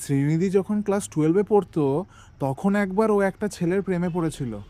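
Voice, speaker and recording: A man's voice: high-pitched, moderate pace, lightly acted. An actor playing an exasperated, panicky young man among friends in a comedy-mystery audio drama, agitated and scolding. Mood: dismayed. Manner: agitated, scolding, hushed at times.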